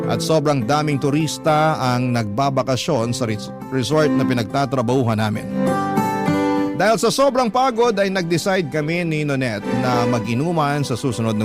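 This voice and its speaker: A man with a Filipino accent narrating a first-person story as a radio host reading out a letter. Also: medium pitch. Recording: loud guitar music under voice. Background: guitar music.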